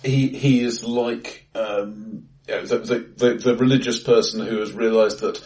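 A man co-hosting a casual film discussion in a measured, thoughtful, tentative way, recorded in a bright, echoey room. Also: medium pitch, steady tone, distant mic. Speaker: podcast host. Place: untreated, acoustically bright room, face to face.

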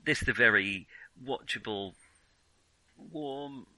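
A man's voice: medium pitch, slow, sharp tone, dry recording. A man co-hosting a casual film discussion, talking in a hesitant, rambling way and often correcting himself. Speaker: podcast co-host discussing films.

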